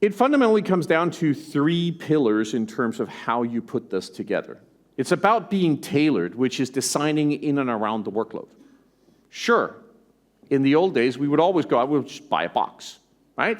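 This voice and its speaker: A man from Denmark with an American accent gives a conference keynote, conversational and animated, tossing in rhetorical asides. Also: fairly high pitch, moderate pace. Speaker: tech company executive presenting. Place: conference stage.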